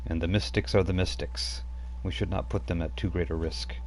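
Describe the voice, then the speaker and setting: A man's voice: low voice, steady tone, brisk, darkish tone, a little hiss or hum, lightly acted, rough recording. Man playing a grave elder werewolf. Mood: solemn.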